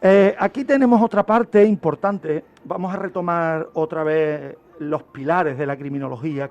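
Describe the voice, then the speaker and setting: A middle-aged man with an accent from Spain and a fairly high voice. He talks as an expert on the radio, laying out points in short, emphatic phrases and turning to examples. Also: vocal fry, darkish tone. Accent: Spanish from Spain. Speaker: criminologist guest expert. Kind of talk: explanatory radio talk.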